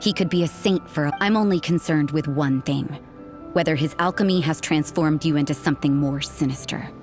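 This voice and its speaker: An actress playing a stern, suspicious nun in a video game, cool, clipped and distrustful. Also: female voice, low voice, brisk, over music, natural voice. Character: stern, suspicious adult nun, cold and guarded.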